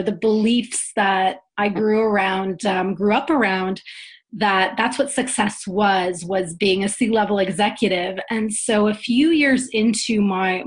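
A woman's voice: mid-range voice, slightly rough. A woman in her thirties, born in Poland and raised in Canada, talking earnestly as a podcast guest about her career and family, often restarting phrases. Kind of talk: casual interview chat.

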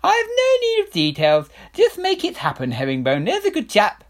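A man voicing a vain, skittish king who speaks in an airy, evasive, hurried way. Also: sits high, wildly swooping pitch, voice pushed up. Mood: airy, nervous.

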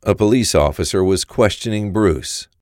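A male narrator tells a scripted crime story. His voice is very low, and the recording is close and free of echo. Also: moderate pace, darkish tone.

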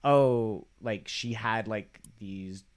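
A man's voice: lowish voice, very slow, clear, resonant tone. A young man, a comedian, telling a rambling, wry story in casual podcast chat. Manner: rambling, wry, trails off into quoted asides. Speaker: comedian guest.

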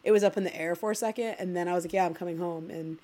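Chatty woman with low voice. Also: fairly even intonation, rapid-fire, mellow, slight background noise. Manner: chatty, full of "like" fillers. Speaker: podcast co-host. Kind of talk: casual chat.

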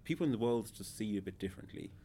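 Deep gravelly hesitant man. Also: expressive, very fast, mellow, a little hiss or hum. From Zimbabwe. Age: 30s. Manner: hesitant, many fillers and restarts. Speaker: podcast guest. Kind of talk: casual interview.

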